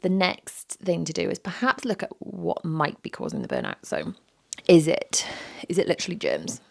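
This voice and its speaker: A woman, hosting a solo podcast with a croaky, sore-throated voice, candid and self-deprecating, repeating phrases for emphasis. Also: huge pitch swings, moderate pace, no room sound, studio quality. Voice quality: low and gravelly. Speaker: podcast host. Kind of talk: reflective solo podcast talk.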